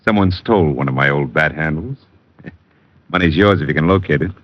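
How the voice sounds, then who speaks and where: An actor playing a menacing, urbane crook who threatens with mock courtesy in an old radio crime drama. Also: male voice, very deep voice. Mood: veiled menace. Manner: urbane, deliberate, politely threatening. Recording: muffled old radio broadcast.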